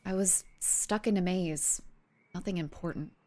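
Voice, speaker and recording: A woman playing an earnest, excitable young superhero fan in an audio drama. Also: fairly low pitch, measured pace, lightly acted. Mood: shaken.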